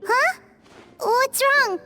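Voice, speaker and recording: An actress voicing a tiny, childlike, inquisitive game companion with a squeaky voice. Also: female voice, lively intonation, extremely slow, heavily acted. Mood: puzzled. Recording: music clearly behind.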